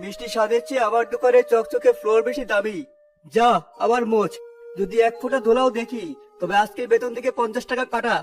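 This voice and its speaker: A harsh, haughty shopkeeper played by a man from a cartoon drama. His voice sits high, scolding and looking down on everyone, with music clearly behind him. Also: fairly even intonation, fast talker. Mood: angry, scolding. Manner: scolding, contemptuous, domineering. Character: harsh, haughty male sweet-shop owner obsessed with cleanliness. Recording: clear background music throughout.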